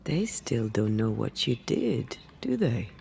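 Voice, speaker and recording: Wry female ghost companion; very slow, creaky, hushed asides for a game. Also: extremely low pitch, sing-song, full character voice.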